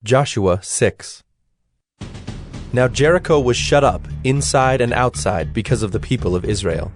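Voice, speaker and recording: A man narrating scripture from a script in a formal, measured storytelling manner. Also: mid-range voice, expressive, faint music behind.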